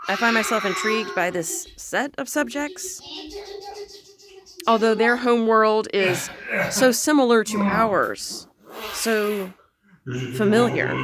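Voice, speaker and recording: An actress playing a formal alien research lead, dictating a clinical, deliberate log in an audio drama. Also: female voice, medium pitch, a crowd in the background, natural voice. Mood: clinical, measured.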